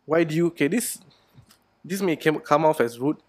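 A young man hosting a casual podcast, chatty and quick to laugh, often repeating "okay". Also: fairly high pitch, no room sound. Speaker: podcast host.

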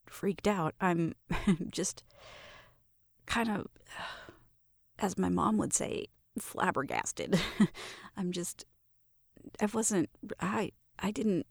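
A woman's voice: on the low side, animated, very slow, creaky, pauses often, slightly rough, no room sound, clean studio sound, heavily acted. A woman playing a shy, smitten young roommate in an intimate roleplay, nervous and stammering as she talks to the listener. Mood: flustered, hopeful. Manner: nervous, stammering, giggly, self-interrupting.

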